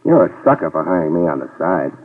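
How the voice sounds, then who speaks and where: Casting: a dry, wisecracking private eye, low voice, lively delivery, tinny radio sound with music. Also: male voice, quick pace, husky, distant mic, exaggerated voice. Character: wisecracking male New York private eye. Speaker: narrator and lead detective. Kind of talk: 1950s radio drama acting.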